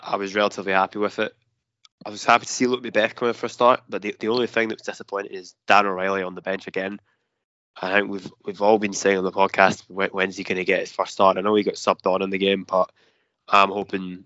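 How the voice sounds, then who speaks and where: A man giving rapid, even takes as a football podcast panelist. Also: sits low, dry recording. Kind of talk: casual football podcast chat. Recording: dry, dull lo-fi podcast audio.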